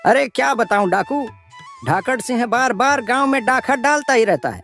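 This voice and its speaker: A man's voice: very high voice, animated, normal speed, a bit bright, faint music behind, exaggerated voice. A man playing an anxious village headman in a cartoon story, explaining fearfully. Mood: anxious, grave. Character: anxious village headman reporting a bandit's cruelty. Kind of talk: animated moral story dialogue.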